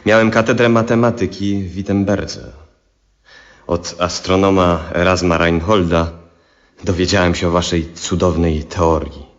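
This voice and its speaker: An actor playing an earnest, devoted male scholar in a 1970s radio drama, with formal, measured delivery. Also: low voice, steady tone. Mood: earnest, eager. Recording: dull vintage radio recording.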